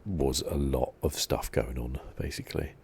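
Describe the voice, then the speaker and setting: Very deep, creaky man doing ASMR. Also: normal speed, slight background noise. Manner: soft, relaxed, musing. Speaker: gaming channel host. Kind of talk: ASMR video game playthrough commentary.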